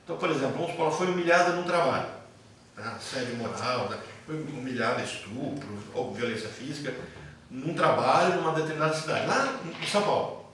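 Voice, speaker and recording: A man's voice: mid-range voice, fairly quick, a bit bright. A man with a Brazilian Portuguese accent teaching a class in a didactic way, posing questions and answering them himself. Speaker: psychoanalysis teacher. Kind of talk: classroom lecture with questions to students. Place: classroom with students. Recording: very echoey room, distant mic, faint noise.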